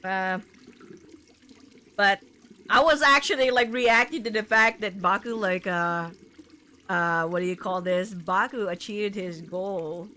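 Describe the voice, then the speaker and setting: A woman game streamer chatting casually to her viewers in a rambling, halting way, full of filler words. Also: on the high side, pushed and bright, a little hiss or hum. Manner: rambling, halting, punctuated with "like" and "you know". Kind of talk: casual livestream chat to viewers.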